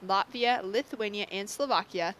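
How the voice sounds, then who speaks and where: A woman's voice: medium pitch, normal speed, fairly bright tone. Lady calling surf heats, upbeat and informative, bit of noise behind. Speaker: surf contest commentator. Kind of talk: live sports commentary.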